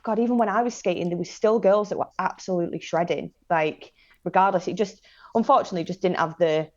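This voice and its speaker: Northern english girl late 20s, lowish voice, chatty n slangy podcast guest, bit muffled lo-fi sound. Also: quick pace, a little mellow. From northern England, Manchester and Blackpool area. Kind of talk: casual chat, personal anecdotes.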